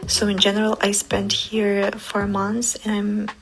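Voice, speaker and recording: A woman in her twenties from Ukraine, speaking English, answering interview questions carefully, pausing and restarting words. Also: medium pitch, monotone, gravelly, music in the background, rough recording. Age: 20s. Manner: careful non-native English with pauses and restarts.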